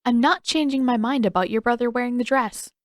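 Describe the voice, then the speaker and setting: A woman's voice: fairly high pitch, brisk, no room sound, studio quality, voice pushed up, close to an everyday voice. A woman voicing a stern, fierce teenage warrior girl, firm and terse, in a story reading. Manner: firm, terse, rule-bound.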